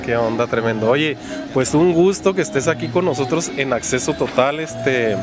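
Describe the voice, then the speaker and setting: Man, 30s, interview host, chatty, mid pitch, outdoors, handheld mic, music bed. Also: normal speed. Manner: friendly, chatty, many fillers like "pues" and "este". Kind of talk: casual interview hosting. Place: outdoors on a park bench by a street.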